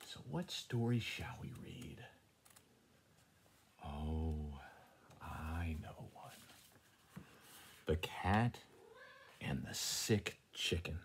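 A middle-aged man reads a story aloud to his students in an unhurried way. His voice is low and breathy, swinging expressively, and he slips into casual asides. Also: animated, a little hiss or hum. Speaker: teacher reading a story to students. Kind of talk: homemade read-aloud storytime, chatty asides. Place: small room at home, indoors. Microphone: handheld tablet at arm's length.

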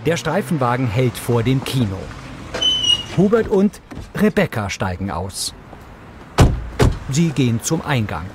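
A man's voice: mid-range voice, very animated, unhurried, pauses often, heavy background noise. A man narrating scripted audio description in a neutral, factual manner.

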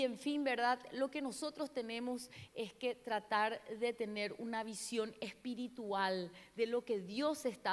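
A middle-aged woman, Portuguese her first language, preaching a sermon in an earnest, searching way, restarting words often, on a handheld mic. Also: on the high side. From Paraguay, Brazil border. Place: large church stage. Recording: long church hall reverb.

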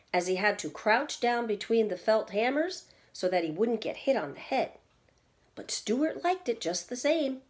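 A middle-aged woman with a mid-range voice reads a children's story aloud. She speaks deliberately, like a teacher explaining things to young listeners, seated indoors a little way from the device recording her. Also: medium pitch. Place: indoors at home, seated in an armchair in a small room.

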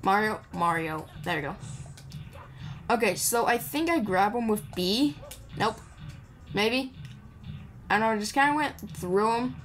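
A woman chats casually as she plays a video game, commenting off the cuff in a playful manner. Her voice sits at a middling pitch, with game music running behind it. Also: lively intonation, measured pace, pauses often, fairly bright tone. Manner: chatty, playful, mumbles asides to the game character. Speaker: gamer hosting a playthrough. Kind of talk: casual improvised gameplay commentary. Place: indoor voice-over recorded over game audio.

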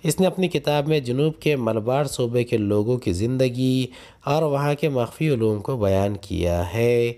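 Indian man teaching, unhurried, mellow voice. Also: medium pitch, animated. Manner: deliberate, instructional, pausing between numbered points. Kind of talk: reading textbook questions and answers aloud as a lesson.

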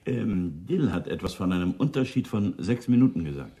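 Animated senior police officer. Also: male voice, deep voice, moderate pace. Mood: inquisitive. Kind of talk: German radio crime drama acting.

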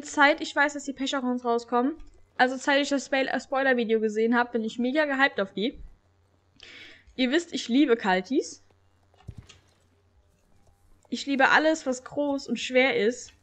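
Young woman, stuffy from a cold, chatty game commentary, kinda high voice, gets hyped. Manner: excited, chatty, stumbles when reading. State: has a cold. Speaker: gaming channel host. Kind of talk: casual gameplay commentary with reading aloud.

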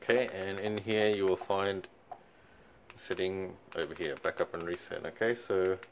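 A man's voice: low voice, normal speed, a little hiss or hum. A young man, casually walking viewers through resetting a device, with plenty of ums along the way. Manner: relaxed, many fillers. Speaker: company product presenter. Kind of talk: casual how-to walkthrough. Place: indoors in front of a TV screen.

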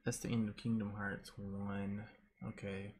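A man's voice: on the low side, flat delivery, measured pace, background noise. A man in his thirties giving casual commentary as he hosts a video game playthrough. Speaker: gaming video host. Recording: loud game music behind.

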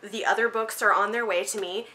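A woman's voice: mid-range voice, fairly even intonation, brisk, pushed and bright. A woman in her thirties chatting casually and emphatically to camera as a book vlogger in a room at home. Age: 30s. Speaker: book vlogger, channel host. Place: small home room with bookshelves, indoors.

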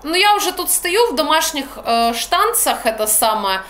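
A young woman with a Ukrainian accent vents into a phone held at arm's length in a small room by a window. Her voice sits a little high and she talks bluntly, with slang and a fed-up edge. A faint noise hangs under the recording. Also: moderate pace. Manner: blunt, slangy, exasperated, animated. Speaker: vlogger. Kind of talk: casual ranting monologue. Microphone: phone camera at arm's length.

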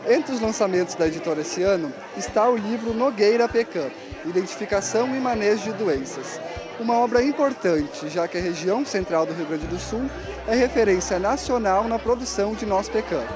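A man's voice: high voice, animated, fairly quick, a crowd in the background. A young man delivering a scripted television news report. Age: young adult.

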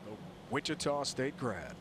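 A man doing live play-by-play for a televised bowling match, calling each shot in brisk, punchy phrases. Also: medium pitch, very animated. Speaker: play-by-play announcer. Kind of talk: live sports commentary. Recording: loud arena crowd and noise behind.

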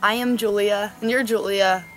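A woman's voice: medium pitch, steady tone, fairly bright tone, faint music behind. A nineteen-year-old woman talking casually and earnestly to the camera, filmed outdoors in a cold, sunny yard with a camera mic. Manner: chatty, earnest, quick asides. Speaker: vlogger. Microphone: camera mic, medium shot.

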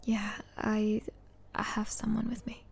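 A woman playing a hesitant, apologetic young student and caring sister in a breathy, whispery ASMR roleplay. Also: on the high side, monotone, exaggerated voice. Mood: sheepish, soft. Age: young adult. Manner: halting, hesitant. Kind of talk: soft-spoken ASMR roleplay.

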